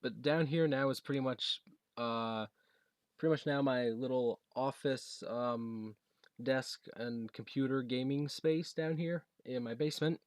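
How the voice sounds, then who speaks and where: A young American man chatting casually through a home unboxing video, halting and rambling as he goes. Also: mid-range voice, fairly even intonation, dry recording. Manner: halting, rambling, reads box text aloud. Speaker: video creator showing a gadget. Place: basement room at home.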